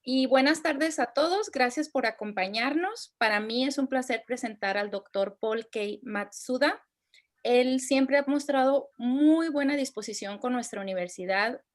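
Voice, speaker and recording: A woman's voice: high-pitched, moderate pace. A woman formally introducing a guest speaker at a university session. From Mexico. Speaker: event host introducing the speaker.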